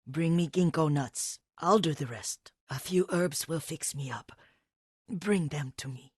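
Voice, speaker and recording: Elderly female caretaker, game drama: gentle, wistful, devoted, slightly low husky voice, halting slow delivery. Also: no echo, studio quality. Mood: brisk, practical. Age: older. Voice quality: husky, breathy. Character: frail, devoted elderly Japanese woman caretaker and herbalist. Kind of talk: dramatic video game voice acting.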